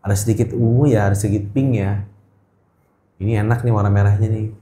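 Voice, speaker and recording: A man's voice: lowish voice, steady tone, a little fast, warm tone, a little hiss or hum. A middle-aged man with a Jakarta accent, chatting casually as an interview guest, often repeating words for emphasis. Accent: Jakarta Indonesian. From Indonesia. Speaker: guest, gemstone trader. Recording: echoey room.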